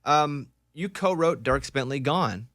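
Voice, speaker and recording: A male radio host from Arkansas with a bright, forceful voice and a mid-range pitch. He teases his guest playfully, and his voice is close and dry in the studio. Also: lively intonation, measured pace, no echo. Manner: teasing, playful. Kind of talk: radio interview chat.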